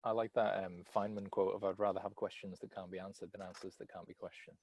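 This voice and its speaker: A man speaking as a coach on a call, putting a reflective question in measured, deliberate, wordy phrases. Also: low voice, level tone, a little mellow, slightly rough.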